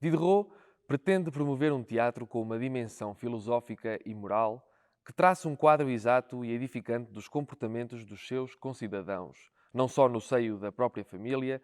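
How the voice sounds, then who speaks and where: Male narrator, European Portuguese accent, medium pitch, scripted educational read. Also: moderate pace.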